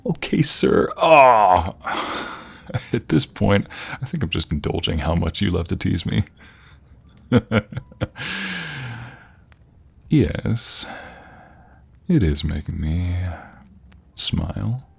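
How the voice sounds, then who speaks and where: A man playing an affectionate, playful husband in an intimate ASMR roleplay, speaking tenderly and teasingly with breathy, whispery softness. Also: low voice, lively intonation, full character voice. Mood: playful, amused. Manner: teasing, tender, chuckling, with drawn-out soft phrases. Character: affectionate, playful young husband sweet-talking his wife.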